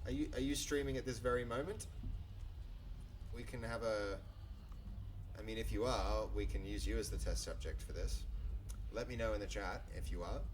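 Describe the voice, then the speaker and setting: A man with a low voice talks casually while he codes live on a stream. He rambles, backtracks and fills gaps with ums and uhs, and he chuckles easily along the way. A faint hum of room ambience sits underneath. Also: normal speed, pauses often. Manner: rambling, many fillers and restarts; easygoing, chuckles often.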